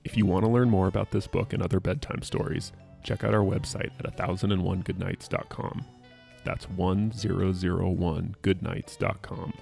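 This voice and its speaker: A man hosting a podcast and chatting casually, with a husky, creaky voice. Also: deep voice, level tone, normal speed, a little mellow, soft background music. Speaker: podcast host. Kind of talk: casual chat.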